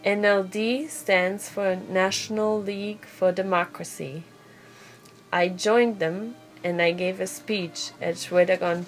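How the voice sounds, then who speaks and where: A woman narrates a biography in the first person, reading from a script. Her voice sits at a medium pitch, and she tells it in a measured, plainly phrased way. Music plays behind her. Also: low-quality recording. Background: background music.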